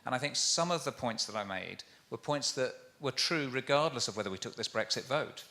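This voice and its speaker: A man's voice: medium pitch, animated, fairly quick, pushed and bright. A man answering audience questions on a conference panel, earnest, with frequent restarts. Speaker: university panelist.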